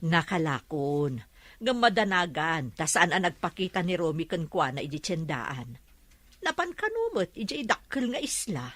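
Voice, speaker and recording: A woman with an Ilocano accent playing a caring, anxious market-vendor mother in a radio drama, soothing and expressive. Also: on the low side, moderate pace, no room sound. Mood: tired, worried.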